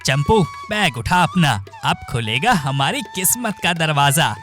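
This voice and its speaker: A greedy thief leader in a cartoon, voiced by a man, with a slightly raised voice that swings up and down a lot. He scolds his partner and schemes. Background music runs clearly under him. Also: fairly high pitch, sing-song, measured pace. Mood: bossy.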